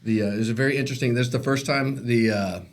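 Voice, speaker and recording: A man's voice: medium pitch, steady tone, racing. A man co-hosting a podcast in casual chat, rambling and trailing off through restarts. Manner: rambling, many fillers and restarts. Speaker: podcast co-host.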